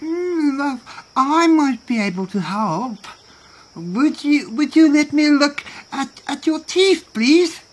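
A woman's voice: sits high, animated, slow, pitched above the performer's own voice. A woman voicing a timid, kindly little male bird in a children's folktale, polite and stammering as he tries to be brave. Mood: hesitant helpful. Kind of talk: children's animal folktale character.

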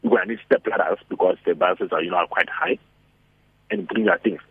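A man speaks in a husky, gravelly voice, full of animated persuasion and rhetorical questions. His pitch leaps up and down as he pushes his point. The sound is thin and dry, like a phone call. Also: fairly quick, no echo. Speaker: radio guest speaker. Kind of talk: motivational phone-in talk. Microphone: telephone. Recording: tinny dry phone line.

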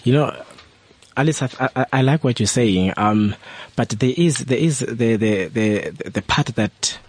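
A man on a discussion panel who speaks in a measured way and repeats words while he builds a point. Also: medium pitch, animated, a little mellow, no echo.

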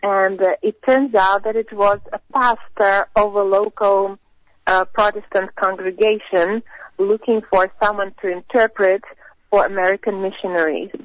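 A woman in her thirties with a Russian accent, telling her personal story as an interview guest, with hesitant, thoughtful pauses. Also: medium pitch, fairly even intonation, no room sound. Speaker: missionary guest. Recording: dull, lo-fi radio sound.

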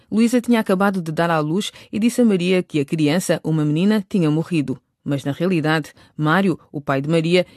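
A man's voice: a little high, no echo, clean studio sound. A man reading scripted narration for a serial radio drama.

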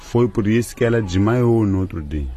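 A man playing a calm, reassuring hospital doctor in a radio drama, measured and encouraging. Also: lowish voice, faint music behind, close to an everyday voice.

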